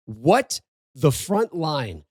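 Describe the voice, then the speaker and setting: A man's voice: a little high, very animated, drawn-out words, a little mellow, dry recording, studio quality. A man hosting a casual sports podcast, upbeat as he reads sponsor lines and plugs the show. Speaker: podcast host.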